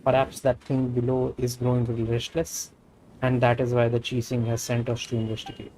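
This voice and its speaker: A man's voice: medium pitch, completely flat delivery, brisk, dark tone, slight background noise, poor audio. A man with an Indian accent, a native Hindi speaker, doing a casual gaming livestream and reading game dialogue aloud. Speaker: game streamer.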